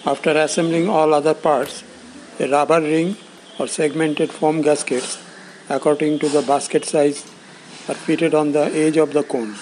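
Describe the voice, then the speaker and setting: A man with a fairly high, mellow voice narrates an instructional script. He explains things in short, measured phrases, as an instructor would. There is faint noise in the recording. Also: flat delivery, rough recording. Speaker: instructor presenting components.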